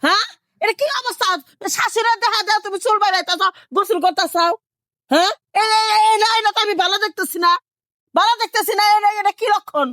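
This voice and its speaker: A very high-pitched, fast-talking village mother-in-law played by a woman with a rural Bangladeshi accent. She is easily scandalised and harangues in a stream of rhetorical questions, as in a domestic drama, recorded close and dry. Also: fairly bright tone, no room sound. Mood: outraged. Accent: rural Bangladeshi Bengali. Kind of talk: improvised-sounding domestic drama acting.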